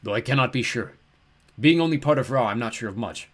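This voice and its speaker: A man acts out a lonely, ancient ram-headed giant guarding a gate in a storybook tale. The voice booms with a bleating edge, mildly courteous in its threats and heavy with melancholy. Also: expressive, fairly quick, natural voice. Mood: forlorn. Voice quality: booming, bleating.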